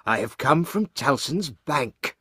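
A man voicing a banker who gravely delivers alarming news in measured, emphatic sentences, in an audiobook. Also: medium pitch, expressive, sharp tone, no room sound, studio quality, pitched above the performer's own voice, full character voice. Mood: grave, urgent.

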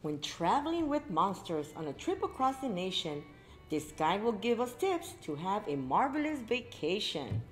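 A woman's voice: mid-range voice, sing-song, moderate pace, soft background music. A middle-aged woman reading a story aloud to young children, expressively, with exclaimed asides to her listeners. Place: indoor library room with bookshelves.

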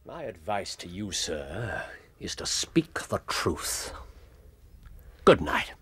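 An actor playing a brisk, incisive English detective with a clipped, authoritative manner in a period radio drama. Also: male voice, deep voice, sing-song, fairly bright tone, breathy edge, no echo, whispered, exaggerated voice. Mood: stern, curt.

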